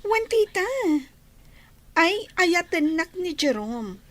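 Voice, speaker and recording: Woman acting very high-voiced, whiny lovestruck young girl. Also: big pitch swings, slow, a bit bright, exaggerated voice. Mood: possessive, insistent. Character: possessive, lovestruck young girl, insistent and pouting. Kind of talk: melodramatic audio drama acting.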